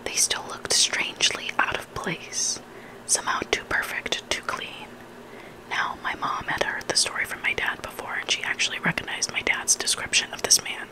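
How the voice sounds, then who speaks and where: Whispering ASMR storyteller. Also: rough recording. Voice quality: breathy unvoiced whisper. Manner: hushed, deliberate reading in short phrases. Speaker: ASMR reader of online stories.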